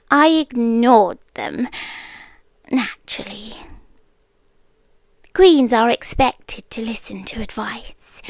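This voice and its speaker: A British woman playing a possessive monster queen in an intimate ASMR roleplay, speaking in a hushed, deliberate, regal way and often whispering. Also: high voice, no echo, full character voice. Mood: haughty, scornful. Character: possessive monster queen, obsessive captor, softly menacing.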